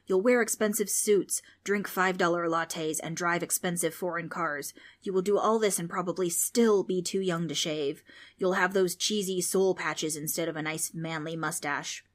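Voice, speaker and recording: A woman putting on a gruff mocking male ghost. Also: on the low side, normal speed, lightly acted. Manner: loud, scornful, folksy.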